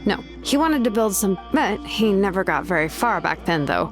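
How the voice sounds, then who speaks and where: A gentle young tribeswoman played by an actress in a fantasy video game, speaking at a middle pitch. She explains helpfully and a little hesitantly over faint music. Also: lively intonation, normal speed, close to an everyday voice. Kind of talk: video game dialogue acting.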